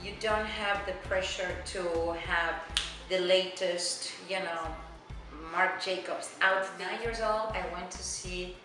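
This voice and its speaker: A woman's voice: lowish voice, fairly even intonation, takes it slowly. A middle-aged woman from Argentina with an Argentine Spanish accent, a native Spanish speaker talking chattily in a casual oral history interview, often saying "you know". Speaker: immigrant interviewee. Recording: very echoey room with music behind.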